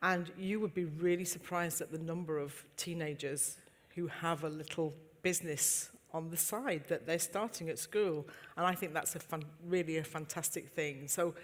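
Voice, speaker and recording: A woman's voice: deep voice, steady tone, moderate pace. A woman with a Yorkshire accent, speaking upbeat as a tech entrepreneur on a conference panel. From Northern Ireland, raised in Yorkshire. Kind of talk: panel discussion. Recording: reverberant conference hall.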